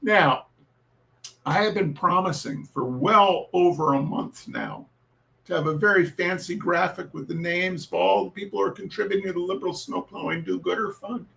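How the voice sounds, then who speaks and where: A man with a high voice that swings widely up and down and crackles with creak. He performs like a showman, theatrical and emphatic, repeating phrases for effect. His delivery suits comic readings and loose, informal chat with an audience. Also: big pitch swings, moderate pace, fairly bright tone. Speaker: host reading humour pieces.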